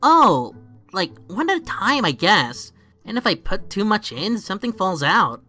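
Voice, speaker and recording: An earnest, awkward young teenage boy voiced by a woman in a webcomic fan dub. The voice is bright and forceful with very animated pitch, informal and hesitant, quick to get frustrated. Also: faint music behind, full character voice.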